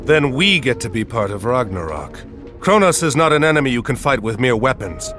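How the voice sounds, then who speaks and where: An actor playing a resolute heroic military commander in a video game cutscene. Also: male voice, medium pitch, very animated, a little fast, fairly bright tone, soft background music. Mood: grave, determined.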